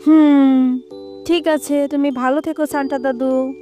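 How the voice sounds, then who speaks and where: A woman's voice: sits high, steady tone, measured pace, warm tone. A woman voicing an eager, naive young girl in a cartoon. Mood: grateful. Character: excitable, wishful young girl who adores Santa. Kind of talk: cartoon character acting. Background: faint music.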